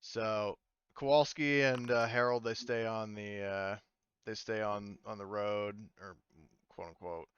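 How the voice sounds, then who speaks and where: A man's voice: lowish voice, fairly even intonation, measured pace, fairly bright tone, smooth, highs cut off. A man game master improvising his narration of an online tabletop game, hesitantly thinking aloud and swearing casually.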